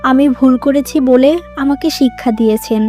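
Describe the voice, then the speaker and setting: A woman's voice: sits high, fairly even intonation, a little fast, mellow, faint music behind, lightly acted. A woman voicing an emotional, plaintive young modern wife in a moral-story cartoon. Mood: relieved, apologetic.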